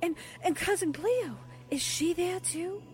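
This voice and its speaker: Woman as a high-voiced, brash girl reporter. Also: unhurried. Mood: feigned awe. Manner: slangy, naive, chatty. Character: brash, wisecracking young newspaperwoman working undercover. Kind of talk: 1940s radio drama acting.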